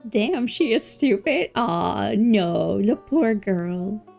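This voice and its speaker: A woman's voice: medium pitch, expressive, slow pace, creaky, a little mellow. A woman livestreamer giving casual, cooing, reactive commentary as she plays a game. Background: game music.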